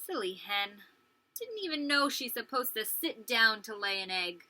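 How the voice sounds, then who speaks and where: Woman, preschool story-time reader: very bright, highish voice, lively swoops, expressive and engaging with questions. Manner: expressive, pausing to question young listeners. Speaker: preschool teacher reading a story aloud. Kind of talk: animated children's read-aloud with questions to listeners.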